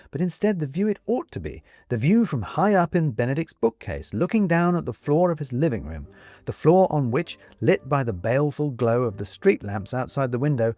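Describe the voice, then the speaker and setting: A man's voice: medium pitch, darkish tone, no room sound. A man reading scripted audiobook narration expressively, as a storyteller. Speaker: story reader.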